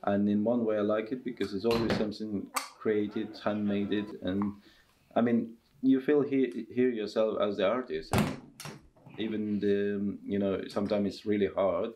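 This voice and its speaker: A man in his thirties with a Russian accent, talking casually in halting English during a seated interview in a costume workshop. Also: lowish voice, level tone, background noise. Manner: halting non-native English with restarts. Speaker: circus performer and tent master.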